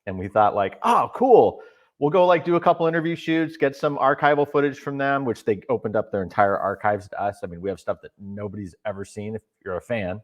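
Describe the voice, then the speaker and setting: Low-voiced guy telling how his doc got made, bit of a scratchy throat, easygoing. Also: brisk. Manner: relaxed storytelling, some fillers. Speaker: documentary filmmaker guest. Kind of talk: interview answers.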